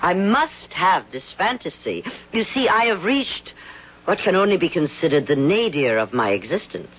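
A faded, weary actress, wistful and theatrical, her husky voice rising and falling widely. The sound is dull and muffled like an old radio broadcast. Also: on the low side, sing-song, moderate pace. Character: weary out-of-work woman film actress, wistful. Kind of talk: 1970s radio drama acting.

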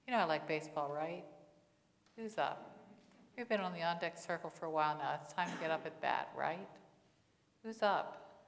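A woman's voice: low-pitched, moderate pace, long pauses. A middle-aged woman pastor preaching in a church on a lapel mic, conversational, with frequent rhetorical questions. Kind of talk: sermon. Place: church sanctuary. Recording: long church reverb.

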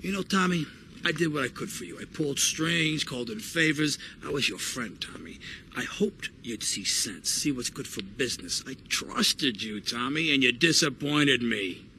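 Forceful, sneering Mafia don. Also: male voice, medium pitch, expressive, normal speed, slight background noise. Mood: smooth menace. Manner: taunting, domineering. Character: swaggering, menacing male Mafia don, mocking and domineering. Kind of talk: video game cutscene acting.

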